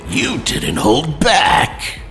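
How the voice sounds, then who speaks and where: An actor playing a savage, bestial villain who taunts an old foe with drawn-out menace in a superhero audio drama. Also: male voice, fairly high pitch, huge pitch swings, a bit bright, faint music behind, heavily acted. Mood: taunting menace.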